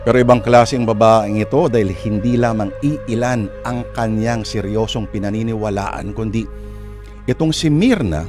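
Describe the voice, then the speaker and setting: A man's voice: lowish voice, moderate pace. A man with a Filipino accent hosting and narrating a scripted radio drama, addressing listeners as a dramatic storyteller. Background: music.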